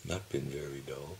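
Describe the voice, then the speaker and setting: Older man in his 70s, slow musing diarist at home, deep gravelly voice. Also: a little hiss or hum. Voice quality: calls his own voice dull. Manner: slow, musing, with soft chuckles and pauses. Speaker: video diarist. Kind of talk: reflective personal reminiscing. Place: home, seated at his computer. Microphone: computer camera mic.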